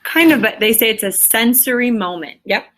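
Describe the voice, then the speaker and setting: Bright-voiced woman teacher reading aloud. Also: a little high, animated, normal speed. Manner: questioning, explanatory, emphatic. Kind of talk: reading a storybook aloud and explaining it to pupils.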